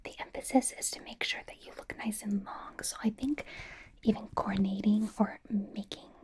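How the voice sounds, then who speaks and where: A soft whisper with a mellow, breathy tone carries this bubbly young female pop idol , acted out by a woman. She plays personal stylist in an ASMR roleplay, gushing and rambling with playful, devoted reassurance. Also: mid-range voice, fairly even intonation, moderate pace, close to an everyday voice. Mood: chatty, admiring.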